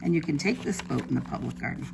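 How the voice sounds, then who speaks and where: Preschool teacher lady reading a picture book, gravelly fry in her voice, slight noise behind. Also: low-pitched, animated. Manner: simple, deliberate phrasing addressed to children. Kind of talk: read-aloud storytelling for young children. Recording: faint background noise.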